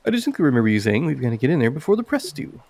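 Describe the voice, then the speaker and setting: A man's voice: mid-range voice, big pitch swings, rapid-fire, a little mellow, dry recording. A man reading the lines of a wary, sardonic investigator sidekick in a mystery visual novel, dry and reluctant.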